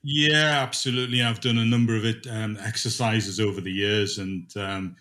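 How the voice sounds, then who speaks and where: A man's voice: lowish voice, steady tone, moderate pace, sharp tone. A middle-aged man speaking as a manager in an informal interview, thinking aloud and repeating words as he goes. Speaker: dispatch manager being interviewed.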